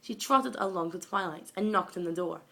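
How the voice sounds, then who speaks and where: A woman's voice: lowish voice, moderate pace, creaky, fairly bright tone. A young woman reading a scripted story aloud in a dramatic, amateur way, recorded at home on a phone. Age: young adult or younger, school age. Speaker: amateur fan fiction reader.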